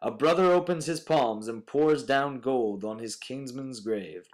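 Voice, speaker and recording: A man's voice: mid-range voice, a little slow. A young man, a student reader, reciting a solemn poem from a text. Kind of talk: poetry recitation.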